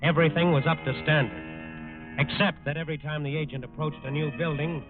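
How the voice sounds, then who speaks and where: A man's voice: fairly high pitch, fairly quick. Old radio crime show narrator guy, serious and emphatic, phone-like sound, music going behind him. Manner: solemn, emphatic. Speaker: drama narrator. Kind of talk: 1940s dramatic scripted narration. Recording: tinny old radio recording. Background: loud dramatic music.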